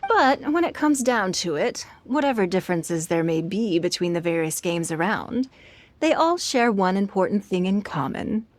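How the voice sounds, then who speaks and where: A woman playing a shrewd, refined, wealthy businesswoman in a video game, speaking in a measured, formal, eloquent way. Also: medium pitch, expressive, close to an everyday voice. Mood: gracious, philosophical. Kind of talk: game dialogue acting.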